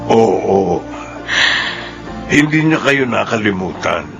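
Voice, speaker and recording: Honorable middle-aged ex-prisoner, gravelly, lowish, sincere, radio drama. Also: male voice, sing-song, slow pace, dull audio, over music, heavily acted. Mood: solemn, generous. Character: prosperous, honorable former prisoner keeping a promise. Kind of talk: Filipino radio drama acting.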